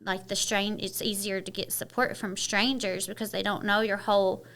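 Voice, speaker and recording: Bright Kentucky-accented woman, chatty. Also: mid-range voice, level tone, moderate pace. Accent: Southern US, Kentucky twang. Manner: open and chatty, many "you know" fillers. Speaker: podcast guest. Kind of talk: casual interview chat.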